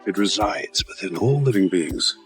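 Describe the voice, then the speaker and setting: A solemn male mentor with a lowish voice. He speaks in a measured, explaining tone, and soft music sits faintly underneath. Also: lively intonation.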